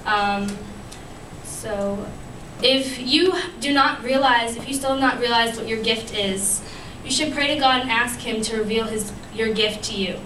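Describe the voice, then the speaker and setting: A woman's voice: a little high, measured pace, sharp tone. Earnest young woman giving a church devotional. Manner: earnest, many ums and restarts. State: recovering from a lost voice. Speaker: youth speaker at church fellowship. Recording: echoey room, distant mic, noisy.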